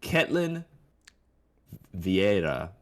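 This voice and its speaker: Animated, lowish-voiced man in casual sports chat. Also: drawn-out words. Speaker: co-host of an MMA podcast.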